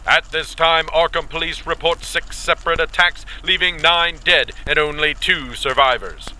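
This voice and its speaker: An old-time newsman with a piercing, forceful, fairly high voice. He announces formally and dramatically. The broadcast has some noise in it. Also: rough recording. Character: urgent male old-time radio news announcer. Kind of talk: 1920s radio bulletin acting.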